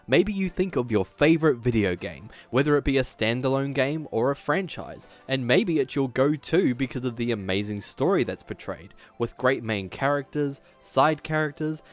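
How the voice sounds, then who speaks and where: A man hosting a video essay, reading a script with emphatic delivery and dropping in casual asides. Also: medium pitch.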